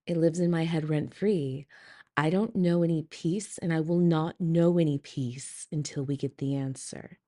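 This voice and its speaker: A woman with a slightly low voice records a casual voice message as a fan. She is dramatic and gushing, full of enthusiasm. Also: fairly even intonation, a little slow, mellow. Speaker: listener sending a voice message.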